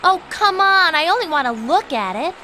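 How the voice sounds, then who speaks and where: A sassy swallow girl scolds her crew in a high, sharp, bright voice. Her pitch jumps around lively. A faint hiss lies under her. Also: normal speed, exaggerated voice. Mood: scolding. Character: sassy female swallow, gang mechanic.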